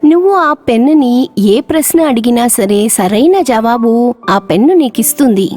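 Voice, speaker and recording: A kind, gentle mermaid from a cartoon storybook, voiced by a woman narrator in a fairly high voice. She reassures softly over light music. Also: animated, measured pace, big-room sound. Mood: reassuring.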